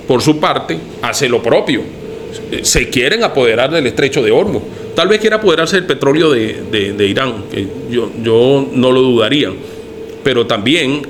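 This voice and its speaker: A man's voice: medium pitch, fairly bright tone, slight background noise. A Venezuelan man talking earnestly on a podcast, thinking aloud and restarting his phrases. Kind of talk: casual podcast discussion.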